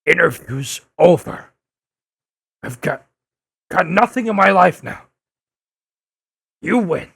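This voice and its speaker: A man playing an older, embittered, grieving newspaperman in an audio drama, gravelly and husky, with halting, emphatic delivery. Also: a little high, expressive, a bit bright, no echo, exaggerated voice. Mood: broken, bitter.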